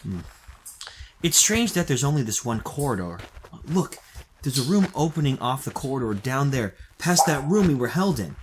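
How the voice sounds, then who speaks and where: Earnest, lively radio-drama hero played by a man. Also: medium pitch, moderate pace, noisy background, close to an everyday voice. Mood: wary. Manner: hesitant, earnest. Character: anxious, protective young male hero trapped with his sweetheart. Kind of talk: 1930s-style radio thriller acting.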